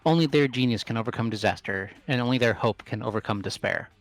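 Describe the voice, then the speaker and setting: A man's voice: medium pitch, fast talker, faint music behind, close to an everyday voice. A man playing a solemn, resolute school headmaster delivering grave lines in a video game readthrough. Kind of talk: amateur game voice acting.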